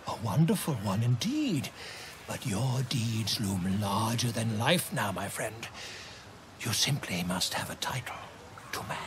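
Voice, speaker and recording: An actor playing a pompous, self-important celebrity author in a video game, speaking in a grandiose, florid manner. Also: male voice, fairly low pitch, big pitch swings, measured pace, music in the background. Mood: flattering, dismissive. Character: pompous, theatrical male bard and celebrity author. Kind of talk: video game character dialogue.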